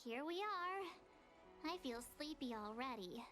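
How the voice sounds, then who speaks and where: A woman's voice: sits high, animated, slow, a bit bright, soft background music, heavily acted. A woman playing a playful, chatty young female adventurer in a video game, with a dramatic, teasing manner. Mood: nervous, joking.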